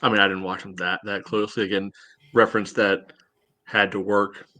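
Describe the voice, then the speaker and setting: A man's voice: fairly low pitch, flat delivery, fairly quick, sharp tone, no room sound. A man in casual sports chat as a podcast panelist, talking in an offhand way. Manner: offhand, frequent "you know".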